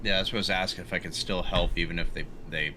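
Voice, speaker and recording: A man playing a tabletop game, chatting casually in a hesitant, halting way. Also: low voice, fairly even intonation. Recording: clear background noise.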